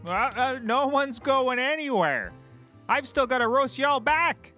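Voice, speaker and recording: A man playing a petty, excitable, bombastic private investigator with a bickering, theatrical delivery in a comedy. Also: very high-pitched, unhurried, faint music behind, exaggerated voice. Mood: scornful.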